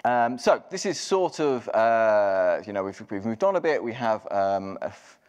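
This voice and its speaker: A man's voice: on the low side, sing-song, measured pace, darkish tone, clear, resonant tone, highs cut off. A man giving a live technical walkthrough at a conference, casual, with many ums and restarts. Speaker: conference speaker. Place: large conference hall. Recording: long hall reverb.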